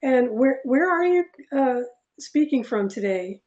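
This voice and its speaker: A woman's voice: high-pitched, animated, measured pace, a little mellow, highs cut off. A woman hosting an online talk over a video call, speaking in a loose, chatty way with many fillers. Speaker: host.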